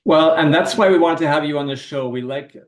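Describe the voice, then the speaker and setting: A man's voice: fairly high pitch, brisk. Man, podcast host, eager, rambling, distant mic, echoey room. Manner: enthusiastic, rambling with fillers and restarts. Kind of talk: casual podcast interview.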